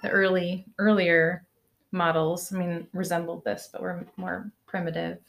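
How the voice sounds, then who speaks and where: A woman talking as a guest musician in a casual interview, relaxed and quick to laugh as she explains. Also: fairly low pitch, vocal fry, a little hiss or hum.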